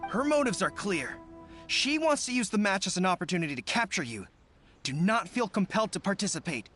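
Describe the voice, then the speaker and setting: A man's voice: high voice, very animated, a little fast, a bit bright, faint music behind. A man playing an earnest, loyal young resistance commander in a video game, formal and rousing. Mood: grave, advising.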